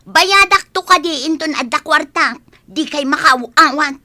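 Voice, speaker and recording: A woman's voice: high-pitched, measured pace, sharp tone, full character voice. A woman playing a brash, evasive gambler who dodges her debts in an Ilocano radio comedy drama, dismissive, with an Ilocano accent. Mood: defiant.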